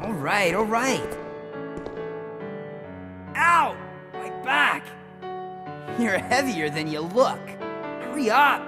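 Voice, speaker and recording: Music and long reverb surround a cocky teenage boy from a video game. He is boastful and easily riled. His delivery is boyish, with big pitch swings and an unhurried pace. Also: very animated, full character voice. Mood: strained, complaining. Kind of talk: video game cutscene acting.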